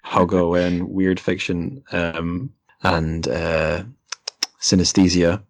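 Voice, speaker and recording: A man's voice: low voice, level tone, slow, no echo. A man hosting a podcast, talking casually in a literary interview. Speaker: podcast host.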